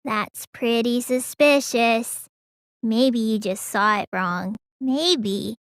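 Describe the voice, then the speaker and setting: A woman voicing a small, gloomy, stubborn teenage girl mage in an English video game dub, drawling when calm and stammering when upset. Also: on the high side, takes it slowly, no room sound, clean studio sound. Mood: sleepy, deadpan.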